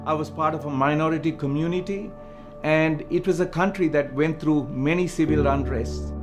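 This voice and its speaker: Earnest Sri man over guitar music. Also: on the high side. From Sri Lanka. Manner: earnest, measured storytelling. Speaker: missionary speaker. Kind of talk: scripted testimony narration over music.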